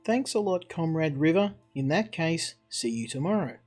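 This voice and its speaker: A man's voice: fairly high pitch, expressive, moderate pace, darkish tone, slightly rough, faint music behind. Man reading as a deferential female secretary. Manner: deferential, formal. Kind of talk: visual novel dialogue read aloud.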